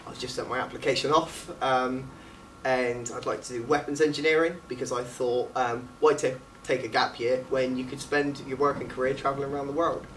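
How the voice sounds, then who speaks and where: A man's voice: medium pitch, flat delivery, brisk, fairly bright tone. A man, a student actor chatting casually in an interview. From England. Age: late teens. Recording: distant mic with faint background noise.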